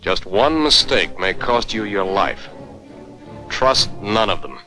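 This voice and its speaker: Deep, stern 1940s American newsreel-style man. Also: expressive, takes it slowly. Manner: stern, emphatic, commanding. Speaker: narrator of a military training film. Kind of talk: 1940s scripted propaganda film narration. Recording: vintage 1940s film soundtrack. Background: orchestral music.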